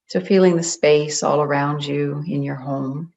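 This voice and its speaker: A meditation guide leading a group in a gentle, deliberate way, leaving long pauses between phrases. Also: mid-range voice, steady tone, gravelly. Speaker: meditation guide, spiritual coach. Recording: dull, muffled lo-fi audio.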